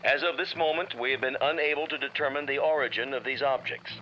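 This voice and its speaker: A man's voice: medium pitch, steady tone, fast. An American actor playing a formal, grave male announcer reading an emergency bulletin through a radio filter in a drama. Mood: grave, official. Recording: dull, noisy old radio broadcast.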